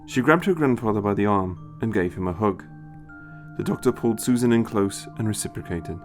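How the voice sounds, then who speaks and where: A man narrating a scripted story in an expressive storytelling style. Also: fairly low pitch, a little fast. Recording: music behind the voice. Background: music.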